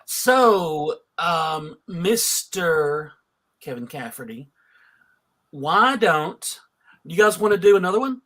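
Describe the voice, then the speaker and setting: High, bright-voiced man from Alabama, exclamatory. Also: lively intonation, slow. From Alabama, United States. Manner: exclamatory, joking. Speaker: host of a fan convention panel. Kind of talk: casual animated panel chat.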